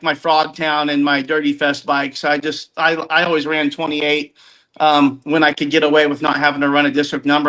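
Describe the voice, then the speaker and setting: A man's voice: on the high side, bright, forceful tone, low-quality recording. A man in his fifties chatting casually, rambling and often restarting his sentences as he tells stories. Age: 50s. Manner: rambling, self-interrupting storyteller. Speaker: podcast guest. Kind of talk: casual chat.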